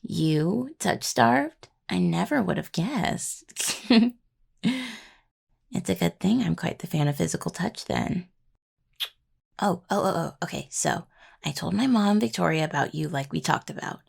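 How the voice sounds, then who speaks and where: A woman playing an affectionate, teasing necromancer in an intimate audio roleplay, talking softly and playfully to a partner, with a husky, gravelly voice. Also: lowish voice, animated, measured pace, heavily acted. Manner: soft, playful, casual delivery with sighs and murmured asides. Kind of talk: intimate audio roleplay acting, addressed to a listener.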